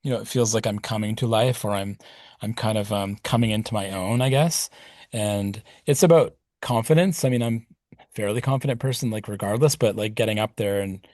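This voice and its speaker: A man's voice: fairly low pitch, a little fast, darkish tone, no echo, studio quality. A middle-aged man speaking as a podcast guest, reflective and rambling with plenty of fillers. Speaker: podcast guest, punk musician. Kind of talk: casual interview talk.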